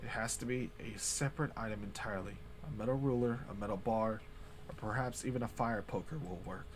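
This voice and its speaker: A man voicing the unseen author of a grim ritual journal, reading slowly in a hushed, ominous low voice. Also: a little hiss or hum, lightly acted.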